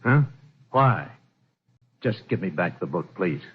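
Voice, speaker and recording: A man's voice: low voice, big pitch swings, slow pace, breathy edge, heavily acted. An actor playing a big, silent mountain man with a curt, terse delivery in old-time radio style. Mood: curt, guarded. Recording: dull, noisy old radio recording.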